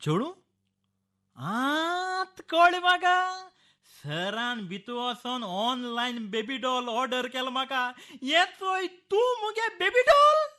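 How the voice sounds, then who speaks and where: A man's voice: extremely high pitch, very animated, slow pace, pushed and bright, exaggerated voice. A man playing a flustered, stammering male servant with a Goan accent in a comic radio play. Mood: gleeful, excited. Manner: stammering, repeats words, pleading. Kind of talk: Konkani musical radio comedy play.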